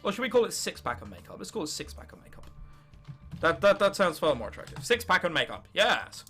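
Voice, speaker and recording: Young english guy doing a lets play, swingy animated voice, kinda sharp and bright, jokey, faint game music behind. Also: sits high, fast, breathy edge. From England. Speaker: gaming video host. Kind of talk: casual let's play commentary, reading game text aloud.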